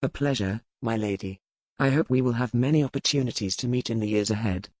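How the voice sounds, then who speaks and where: Man acting a courteous, self-assured Regency gentleman. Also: medium pitch, fairly quick, no echo, natural voice. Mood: formal, courtly. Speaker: audiobook character reader. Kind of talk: scripted dialogue in a historical romance audiobook.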